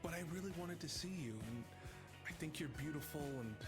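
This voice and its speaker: A man's voice: fairly high pitch, animated, brisk, lightly acted. A man playing a childlike, sweet, naive grown man in a live comedic table read of a film script. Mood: shy, earnest. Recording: music playing behind the voice.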